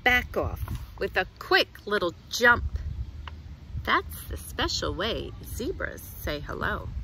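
Expressive middle-aged woman reading slowly, noisy outdoors. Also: medium pitch, creaky. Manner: expressive, deliberate phrasing for young listeners. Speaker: children's librarian, storytime reader. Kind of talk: picture book read-aloud for children. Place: outdoors in a leafy garden.

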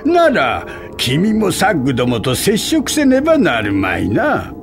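A man's voice: a little high, wildly swooping pitch, a little slow, over music, exaggerated voice. A man voicing a pompous company briefing official in a Japanese game dub, with formal, theatrical delivery.

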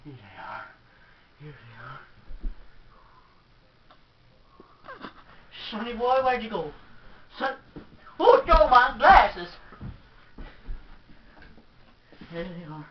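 A man's voice: very high-pitched, big pitch swings, a little slow, recorded from a distance, exaggerated voice. A man voicing a feisty, cranky old grandpa who boasts and fusses, in a comedy skit, recorded lo-fi in a roomy space away from the mic with noise. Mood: fussing. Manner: creaky old-man voice, boastful taunts, fussing.

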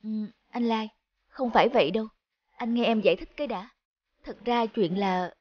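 Woman as gentle big sister in a cartoon, slightly high voice, puts others first. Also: normal speed, dry recording, highs cut off, natural voice. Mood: hesitant, pleading. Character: gentle, self-sacrificing eldest sister raising her siblings. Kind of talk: cartoon dialogue.

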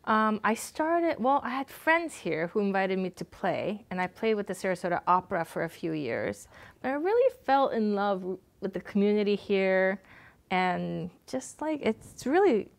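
A woman in her forties talks with a light Boston accent and a gravelly edge to her mid-range voice. She speaks easygoingly with small pauses, recorded on a lapel mic in a television studio. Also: medium pitch, very animated. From South Korea, raised in Massachusetts. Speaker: guest violinist. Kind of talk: casual interview. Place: TV studio set.

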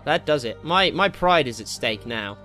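A man giving casual, excitable commentary while playing a video game, jokey and slangy, with exclamations and swearing. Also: a little high, moderate pace. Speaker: gaming video host. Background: game music.

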